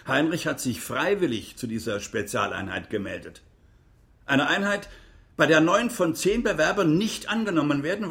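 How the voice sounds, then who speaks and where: An actor playing a stern, accusing prosecutor in a radio courtroom drama, speaking formally with cutting emphasis. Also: male voice, medium pitch, a bit bright.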